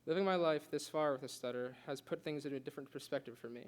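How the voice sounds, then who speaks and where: Young male student, quick delivery, earnest speech with a light stutter. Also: fairly high pitch. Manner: earnest, with occasional stutters and restarts. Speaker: student giving a class speech. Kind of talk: prepared speech read aloud.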